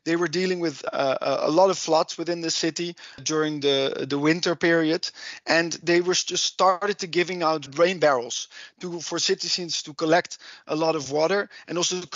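A man's voice: a little high, fairly even intonation, a bit bright, no room sound, highs cut off. A man with a heavy Dutch accent explaining project lessons in a podcast, often restarting his sentences. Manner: halting, many restarts and fillers. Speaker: project and policy coordinator.

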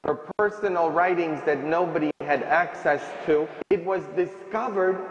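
A man in his thirties preaching a sermon as a priest, slow and emphatic, in a large stone church at a stand microphone. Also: on the high side, a little hiss or hum. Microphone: stand mic at ambo. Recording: echoey church, distant dull sound.

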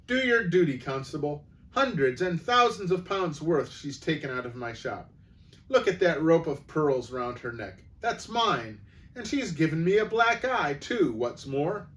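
Shopkeeper, fat and furious, high shrill bluster from a male reader, slightly off-mic. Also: big pitch swings, unhurried, fairly bright tone, distant mic. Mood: indignant.

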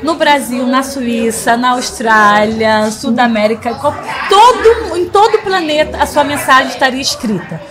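A woman in her forties hosting a podcast interview in a small studio, talking warmly and emotionally with frequent laughs. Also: high-pitched, expressive, moderate pace, few pauses, a crowd in the background. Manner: warm, emotional, laughs often. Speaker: podcast host. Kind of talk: casual interview chat. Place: small studio in front of a logo wall. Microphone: studio mic on boom arm.

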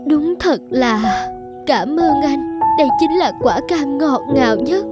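An actress voicing a gentle, self-sacrificing young peasant mother in a Vietnamese cartoon, speaking tenderly. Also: sits high, lively intonation, takes it slowly. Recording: loud background music. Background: music.

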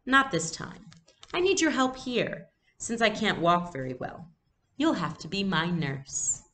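A woman reading a storybook, voicing a patient mother who is soothing but gently firm. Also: medium pitch, big pitch swings, a little slow, voice pushed up.

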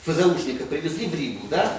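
A man's voice: a little high, lively intonation, fast talker. Man talking from across an echoey room. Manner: rambling, frequent "да?" tag questions, gesturing. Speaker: roundtable participant. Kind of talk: panel discussion. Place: conference room. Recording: echoey room from far off, noisy.

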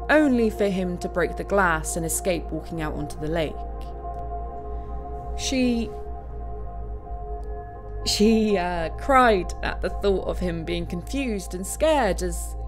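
An actress playing a wistful search and rescue pilot, telling her story in a reflective, conversational audio-play monologue with hesitant pauses. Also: lowish voice, expressive, music in the background. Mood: sombre. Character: wistful female search and rescue bush pilot recounting a tragedy.

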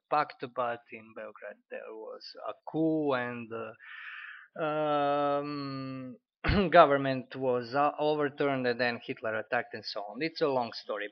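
A man in his forties from Croatia with a Croatian accent, critiquing an article in halting speech with many fillers and restarts. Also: medium pitch. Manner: hesitant, frequent fillers and restarts. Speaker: commentator. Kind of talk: unscripted spoken critique. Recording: dull lo-fi audio.

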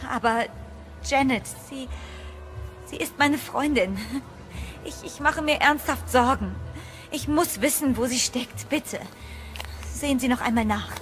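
A woman's voice: sits high, a little slow, long pauses, fairly bright tone, faint music behind. An actress playing a determined, wily female sleuth in an audio horror drama. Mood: hesitant, pleading. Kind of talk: audio drama acting with first-person narration.

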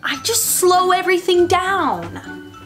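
A woman's voice: very high-pitched, sing-song, slow, a bit bright, faint music behind, pitched above the performer's own voice, exaggerated voice. A woman voicing a small, timid male comma from a children's storybook, meek, plaintive and hesitant. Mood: sad, sheepish.

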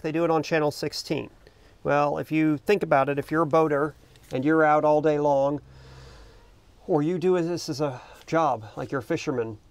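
Middle-aged man with a creaky voice. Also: fairly high pitch, dry recording. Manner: conversational, unscripted. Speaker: boating video host. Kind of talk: casual explanatory talk to camera. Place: outdoors in the cockpit of a small parked boat.

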